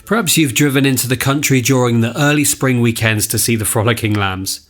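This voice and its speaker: A man's voice: mid-range voice, fairly quick, a bit bright, lightly acted. A man playing a genial farm news presenter on a spoof radio programme, reading from a script. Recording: faint music behind the voice.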